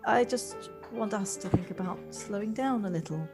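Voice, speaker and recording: A woman in an audio play acting an earnest, reflective woman with a halting, tentative delivery. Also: medium pitch, close to an everyday voice. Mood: happy, tender. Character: earnest, hesitant woman coming home softened and reflective. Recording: home recording on personal device, music behind.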